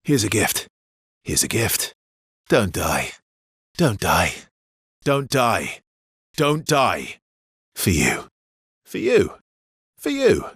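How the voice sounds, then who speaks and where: A man voicing a weary, battle-hardened immortal swordsman in a video game, with a husky, breathy voice and terse, intense, dramatic delivery. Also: lowish voice, huge pitch swings, extremely slow, long pauses, a bit bright, no echo, clean studio sound, full character voice. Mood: dry, understated. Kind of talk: video game combat barks.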